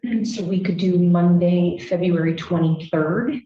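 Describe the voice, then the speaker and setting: A woman's voice: lowish voice, measured pace, dark tone, lo-fi sound, a little hiss or hum. A woman working as a court clerk, offering dates in a helpful, matter-of-fact way. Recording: distant, echoey courtroom audio.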